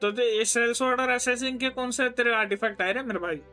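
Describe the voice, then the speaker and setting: A man's voice: extremely high pitch, rapid-fire, bright, forceful tone. A man with an Indian accent chatting casually as he streams a game, informal and reassuring, mixing in English. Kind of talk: casual livestream chat over gameplay. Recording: stream voice over game music. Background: faint game music.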